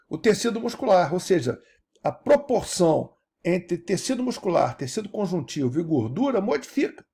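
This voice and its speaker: A man, giving a lecture as an animated teacher who keeps checking that his audience follows. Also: high voice, gravelly, husky. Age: 70s. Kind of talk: recorded university-style lecture.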